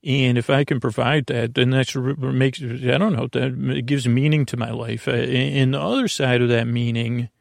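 Male sleep-podcast host, mid-pitched with plenty of vocal fry, halting and digressive bedtime monologue. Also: fairly even intonation, dry recording, studio quality. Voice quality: creaky. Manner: meandering, halting, trailing off mid-thought. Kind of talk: rambling bedtime monologue meant to lull listeners to sleep.

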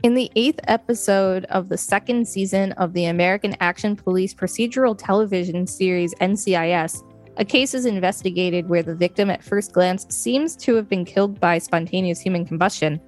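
A woman reads an encyclopedia article aloud as a co-host, in a medium-pitched voice. Her delivery is informative narration, with faint music underneath. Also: a little fast.

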